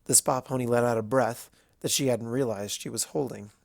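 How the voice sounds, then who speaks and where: A man's voice: mid-range voice, fairly even intonation, brisk, vocal fry, no echo. A man reading scripted fiction aloud as the narrator of a story.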